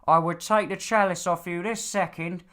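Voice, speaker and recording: A man's voice: sits high, steady tone, normal speed, a bit bright, voice pushed up. A man voicing a stern, exasperated, lecturing father in a fantasy audiobook. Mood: annoyed, lecturing. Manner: lecturing, bossy. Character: stern, nagging, exasperated father of a demon slayer. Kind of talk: audiobook character dialogue.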